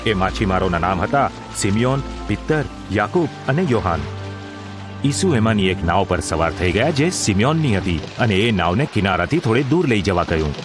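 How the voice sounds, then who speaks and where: A man's voice: lowish voice, big pitch swings, normal speed. A man narrating a scripted audio drama in a formal, literary storytelling manner. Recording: music under the voice.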